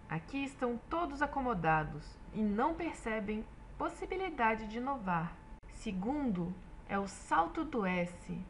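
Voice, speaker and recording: A woman narrating a scripted children's story in an expressive, explanatory way. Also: mid-range voice, a little hiss or hum.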